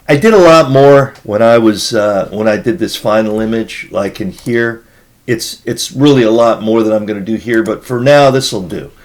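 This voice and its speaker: A man with a hoarse voice from being sick, casually walking through a photo edit step by step as a tutorial host. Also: mid-range voice. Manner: casual, rambling, talks through each step. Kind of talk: screen-recorded editing tutorial.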